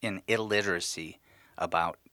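A man co-hosting a radio talk show, with a low voice and a forceful, bright tone. He speaks haltingly with frequent restarts, and the sound is dry and close. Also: steady tone, no echo. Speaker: radio co-host. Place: radio studio.

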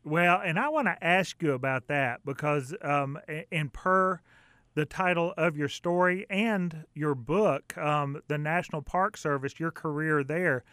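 A man works as a radio host in an interview setting. His voice sits a little high for a man, and the sound is dry and close. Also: unhurried, bright, forceful tone, clean tone, dry recording.

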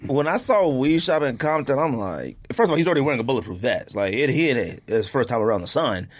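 A man with an American accent chatting casually as a podcast co-host, telling stories in an animated, slangy way with frequent chuckles. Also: mid-range voice, fast. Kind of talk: casual chat with anecdotes.

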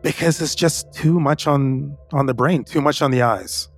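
A man's voice: mid-range voice, moderate pace, husky, soft background music. A man hosting a podcast interview in a conversational style. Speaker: podcast host.

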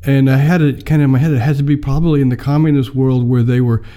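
An American man in his fifties, telling how he came to mission work in a measured, filler-laden interview. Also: medium pitch, steady tone, warm tone. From United States. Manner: measured storytelling with many fillers. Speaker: mission mobilizer, guest. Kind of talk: personal testimony in an interview.

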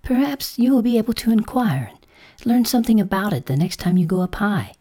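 A woman's voice: medium pitch, sing-song, normal speed, warm tone, pitched above the performer's own voice. A woman voicing a mild, anxious female caterpillar in a storybook, fretful and earnest, given to exclaiming. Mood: timid, earnest. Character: mild, anxious green caterpillar, female, kind but indignant. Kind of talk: storybook character voice.

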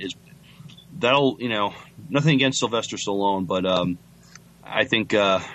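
A man co-hosting a podcast, chatting and reading news casually in a hesitant, halting way. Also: sits low, a bit bright, poor audio. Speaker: podcast co-host.

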